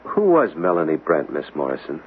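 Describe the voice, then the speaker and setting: Earnest, expressive radio-drama gentleman. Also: a bass voice, a little fast, exaggerated voice. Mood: earnest, probing. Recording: tinny band-limited radio recording with hiss.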